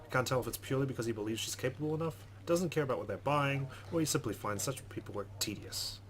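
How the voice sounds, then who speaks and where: A man's voice: mid-range voice, fast. A man reading a visual novel aloud as a let's play host, slipping in his own asides.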